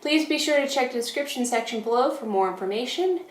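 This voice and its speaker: Woman, somewhat high pitch, casual product demo, step-by-step explanations, audible background noise. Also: animated, fast talker. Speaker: product review presenter.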